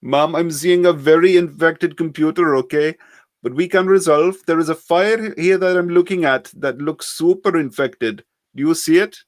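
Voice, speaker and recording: Man putting on a high, heavily accented polite phone scammer. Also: normal speed, no room sound, clean studio sound, lightly acted. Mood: earnest, insistent. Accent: heavy put-on foreign accent. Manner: over-polite, scripted, insistent.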